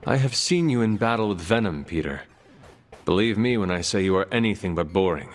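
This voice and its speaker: An actor voicing a solemn, literal-minded male warrior in a video game, speaking formally and earnestly with a deadpan delivery. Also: on the low side, fairly quick, a little hiss or hum, close to an everyday voice.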